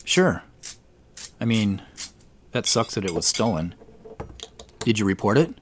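Casting: self-deprecating late-30s city guy, hesitant, fairly low voice, naturalistic radio play, faint noise. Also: big pitch swings, unhurried. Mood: tentative. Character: awkward, modest city businessman in his late thirties. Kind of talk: naturalistic audio drama dialogue.

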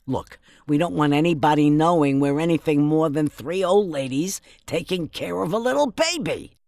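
A woman playing a bossy older fairy, the brisk no-nonsense leader of her sisters, in a fairytale audio drama. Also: deep voice, expressive, darkish tone, no echo, clean studio sound. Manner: commanding, no-nonsense.

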